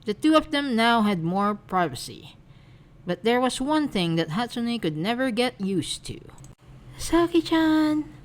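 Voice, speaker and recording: A woman narrating a fanfiction aloud in a gentle, expressive storybook reading. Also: medium pitch, moderate pace. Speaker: fanfic reader.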